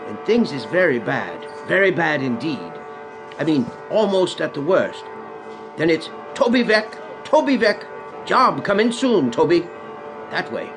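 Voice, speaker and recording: A kindly old porter in a radio play. His pitch swings freely as he mutters and exclaims in folksy working-class phrases. Music plays behind him. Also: big pitch swings, a little slow. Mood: earnest, remorseful. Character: humble, kindly, spare old porter, doting father. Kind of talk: radio drama acting.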